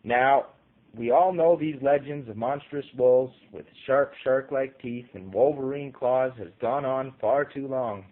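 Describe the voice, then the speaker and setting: A man's voice: mid-range voice, unhurried, narrow, tinny audio, lightly acted. A man voicing a pompous, irritated king from a storybook, giving stern, declamatory pronouncements. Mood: proclaiming. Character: pompous, irritated king dismissing monsters, commanding a crowd. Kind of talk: storybook character voice in audio short story.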